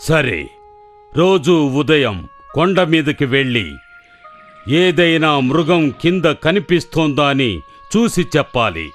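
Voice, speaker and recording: Slow, commanding cartoon lion. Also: male voice, a little high, big pitch swings, soft background music. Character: commanding, self-assured male lion, master of a fox. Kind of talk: children's cartoon voice acting.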